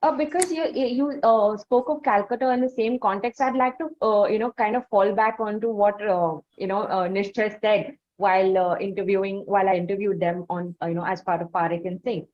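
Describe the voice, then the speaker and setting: A woman's voice: mid-range voice, fairly even intonation. Dull-sounding recording, Indian woman interviewer. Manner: halting, wordy, long winding questions. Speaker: interviewer, host. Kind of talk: conversational interview questioning. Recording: dull, lo-fi audio.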